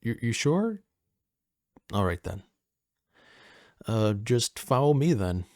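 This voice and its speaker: A man playing a friendly, easygoing personal assistant at a manor in an audio roleplay, soft-spoken, casual and a little hesitant. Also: mid-range voice, very animated, slow pace, no room sound, studio quality. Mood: welcoming, easygoing.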